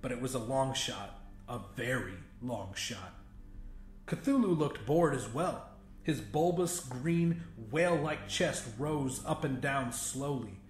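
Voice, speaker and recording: Guy reading a horror story audiobook, mid pitched voice, roomy echo, bit of music behind him. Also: takes it slowly. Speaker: audiobook narrator. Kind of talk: scripted dramatic fiction narration. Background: faint music.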